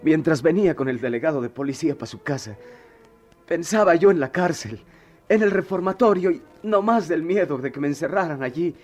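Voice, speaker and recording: A man's voice: fairly high pitch, darkish tone, soft background music, lightly acted. An actor playing a troubled, proud, streetwise poor boy in a radio drama, with a Mexican accent and slangy, impassioned delivery. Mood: anguished, ashamed. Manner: slangy, impassioned, halting when cornered.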